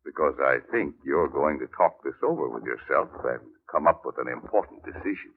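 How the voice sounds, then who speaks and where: An actor playing an aging, tired and wily crime boss with a gravelly, husky voice, emphatic in an old radio drama style. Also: male voice, extremely low pitch, animated, fairly quick. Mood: stern, threatening. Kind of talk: 1940s-50s radio acting. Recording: muffled, narrow-band old radio recording.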